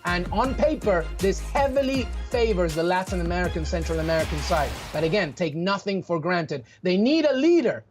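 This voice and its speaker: A man from Peru giving emphatic soccer analysis on a sports show, talking fast and using rhetorical questions. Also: sits high, very animated, music in the background.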